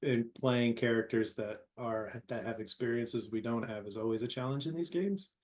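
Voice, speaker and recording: A man player speaking casually in a thoughtful, measured way. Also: medium pitch, a little mellow. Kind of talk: casual chat.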